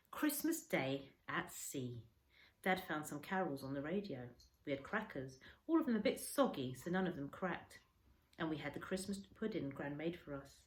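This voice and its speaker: Female storyteller, slightly low voice, dramatic schoolteacher read-aloud for children, recorded in a noticeably echoey room.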